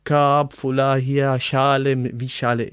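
A man with an Arabic accent, a native Arabic speaker, answering interview questions in halting, word-searching German. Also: mid-range voice, flat delivery, a bit bright, smooth, no echo, studio quality. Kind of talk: casual interview.

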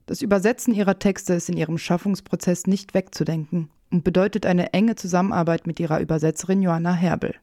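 Mellow woman narrating a scripted feature. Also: lowish voice, fairly even intonation, fast, hardly any pauses, dry recording, studio quality. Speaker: reporter narrating a radio feature. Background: music.

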